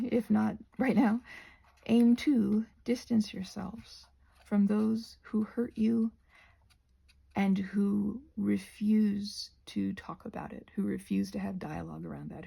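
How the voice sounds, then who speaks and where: A woman rambling casually to viewers as she crafts, in a halting, digressive way that often doubles back on itself. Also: medium pitch, creaky, mellow, husky. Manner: halting, digressive, self-interrupting. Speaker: craft and ASMR video creator.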